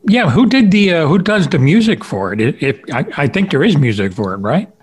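A man's voice: fairly high pitch, big pitch swings, a little fast, husky. A man hosting a podcast, interviewing a guest in a casual, conversational style.

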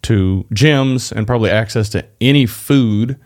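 A man's voice: lowish voice, normal speed, a little mellow. A man hosting a podcast interview, thinking aloud and hedging before he asks his guest a question. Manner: thoughtful and hedging, musing before asking. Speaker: podcast host.

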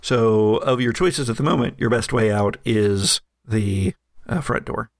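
A man narrating a tabletop game as game master, relaxed and chuckling, with many fillers. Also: lowish voice, measured pace, creaky, dry recording, studio quality.

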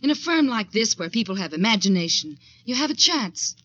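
A woman's voice: fairly high pitch, big pitch swings, a little fast, a bit bright, dry recording. An actress playing an ambitious, defiant young woman in advertising who tells her own story in a 1940s radio drama. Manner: dramatic, intense first-person storytelling. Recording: dull 1940s radio recording with music.

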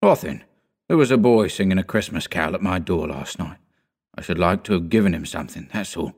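A man reading an audiobook, voicing a gloomy, regretful old miser who mutters quietly. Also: animated, fairly quick. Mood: glum. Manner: low, muttering, subdued.

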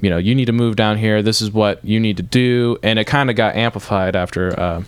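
Low, smooth-voiced young man chatting. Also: fairly even intonation, fairly quick, dry recording. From Michigan, north of Detroit. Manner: rambling, many restarts and fillers. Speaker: guest musician. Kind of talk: casual interview chat.

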